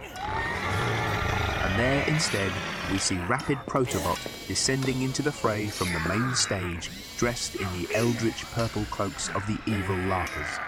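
A man's voice: lowish voice, wildly swooping pitch, measured pace, full character voice. A man playing the dramatic narrator of a tongue-in-cheek fantasy radio play, reading in a theatrical, suspenseful style. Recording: narration under loud music.

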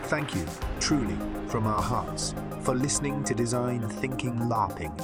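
A man's voice: medium pitch, a little slow. A man giving a scripted, sentimental closing narration. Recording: loud music behind.

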